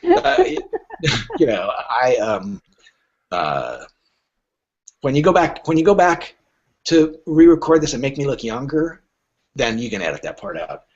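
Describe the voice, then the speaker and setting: A man speaking casually as an interview guest, halting but emphatic, with wry asides. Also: mid-range voice, wildly swooping pitch, husky. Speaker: podcast guest, literary agent. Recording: dull lo-fi audio.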